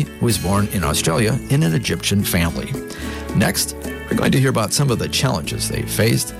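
A man's voice: on the low side, vocal fry. A man hosting an interview show, introducing his guests and prompting them with short attentive responses. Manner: attentive, frequent short backchannels. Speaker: podcast host.

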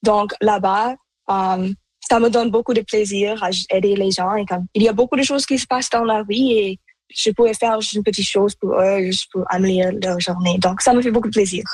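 Young woman student, halting answers. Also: mid-range voice, steady tone, a little mellow, husky, dry recording. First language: Punjabi. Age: young adult. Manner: halting, many fillers and restarts. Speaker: student guest. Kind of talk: interview answers.

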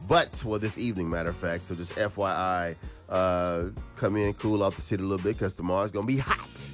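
A man's voice: low voice, fairly even intonation, fairly quick, soft background music. A congested man hosting morning radio, talking in hype, repetitive call-and-response banter with his co-host.

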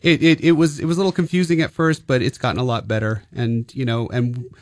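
A man's voice: mid-range voice, fairly even intonation, quick pace, dry recording, studio quality. A male stage actor being interviewed, hesitant, with many restarts. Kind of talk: casual interview.

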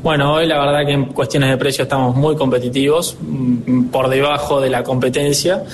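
Argentine man in his twenties, a dealership director interviewed on radio, mid-pitched voice, faint rumble behind. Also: fairly even intonation, normal speed. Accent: Argentine Spanish. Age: 20s. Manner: earnest, upbeat, with many filler hesitations.

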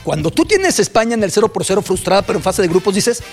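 A man's voice: high-pitched, sing-song, fast talker, faint music behind. A man with a Mexican accent talking football on a panel, explaining and listing his points emphatically. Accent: Mexican Spanish. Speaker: football commentator on a panel show. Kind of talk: animated sports panel talk.

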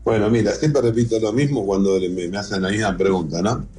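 A middle-aged Argentine man speaks in a very dark, rough and husky voice, on the low side. He answers interview questions conversationally, with music playing behind him. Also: steady tone, brisk. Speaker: interview guest, whisky museum founder and collector.

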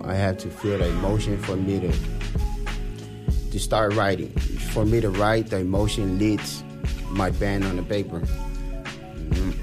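A laid-back young man from Samoa answers questions in an easy, rambling way and repeats his phrases. His voice sits low. Music plays clearly behind him. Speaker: guest musician and fighter. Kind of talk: casual interview.

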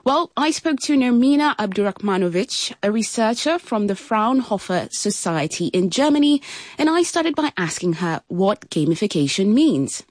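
A woman's voice: medium pitch, sing-song, moderate pace. A woman from Kenya hosting a radio programme, moving between scripted presenting and studio chat.

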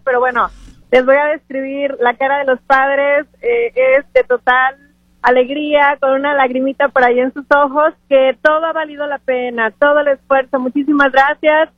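A woman's voice: high-pitched, no echo. A woman hosting a live radio interview in an effusive, encouraging manner. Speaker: radio host interviewing at an event. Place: live remote broadcast from a conference. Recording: phone-like radio broadcast sound.

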